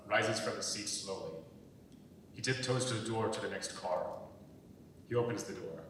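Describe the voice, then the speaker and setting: Low-voiced male narrator in echoey hall. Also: fairly bright tone. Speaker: stage-direction narrator. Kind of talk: scripted narration at a dramatic reading. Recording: echoey hall, far from mic, faint noise.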